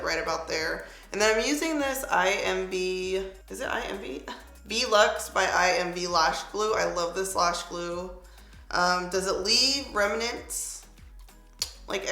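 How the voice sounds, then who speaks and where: A chatty young woman with a slightly raspy voice, talking through a makeup tutorial at home and filling her sentences with "like.". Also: mid-range voice, pushed and bright. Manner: chatty, lots of "like" fillers, upbeat product asides. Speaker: beauty vlogger. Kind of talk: casual makeup tutorial chat. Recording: echoey room with faint music.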